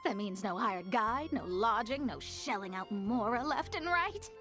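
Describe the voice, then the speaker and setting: An actress playing a proud, testy young woman astrologer in anime-style video game dialogue, brisk and haughty. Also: a little high, animated, a bit bright, soft background music. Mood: eager, brisk.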